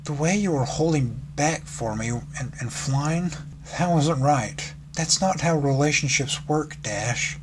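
A man voicing a timid, sorrowful young female pegasus pony in a story, soft, shaky, hesitant and apologetic. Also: on the high side, slow. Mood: sad. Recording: clearly noisy background.

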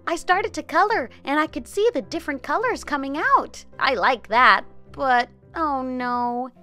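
A woman in her thirties hosting a children's craft show, narrating an experiment in an expressive, child-friendly way. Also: high voice, measured pace. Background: background music.

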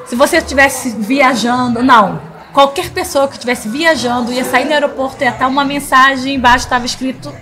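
High-voiced emotional woman podcast host. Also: brisk. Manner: warm, emotional, laughs often. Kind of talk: casual interview chat. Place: small studio in front of a logo wall. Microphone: studio mic on boom arm.

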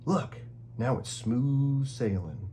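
A man's voice: mid-range voice, expressive, slow, warm tone, slight background noise, voice pushed up. A man voicing a small, cheerful, eager eraser in a children's storybook, exclaiming playfully. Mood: pleased, playful. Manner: exclaiming, upbeat.

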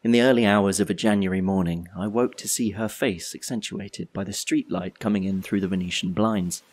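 A man's voice: lowish voice, brisk, darkish tone, lightly acted. An actor playing a wistful, solitary drifter who tells his story in a reflective, wry monologue for an audio play.

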